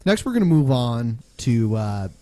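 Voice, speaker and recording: Sarcastic young podcast host. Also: male voice, medium pitch, big pitch swings, unhurried, warm tone, no echo. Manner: sarcastic, chatty. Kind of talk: casual podcast chat.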